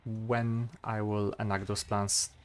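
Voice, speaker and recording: A man in his twenties with a Polish accent, chatting casually to stream viewers and thinking aloud, with pauses and fillers. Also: lowish voice, flat delivery.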